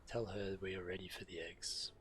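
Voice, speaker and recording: A man's voice: deep voice, flat delivery, normal speed. A man who streams games, reading fantasy quest dialogue aloud. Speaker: game streamer. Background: game music.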